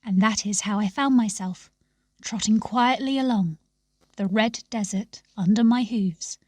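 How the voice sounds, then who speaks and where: A woman reading a scripted story aloud in a measured, expressive storytelling manner. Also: mid-range voice, breathy edge, dry recording, clean studio sound. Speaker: story reader.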